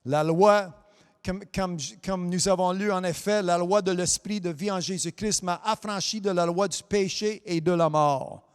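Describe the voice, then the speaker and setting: A man whose first language is English, preaching a French sermon as a pastor, dropping amen and hallelujah between halting restarts and sometimes lifting into a sing-song cadence. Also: high voice, steady tone, clear, resonant tone, in a large hall. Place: church hall.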